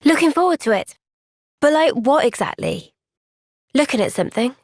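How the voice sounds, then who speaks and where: A woman with a British accent voicing an earnest, gentle, thoughtful young woman soldier in a video game cutscene. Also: high voice, lively intonation, moderate pace, no room sound, studio quality.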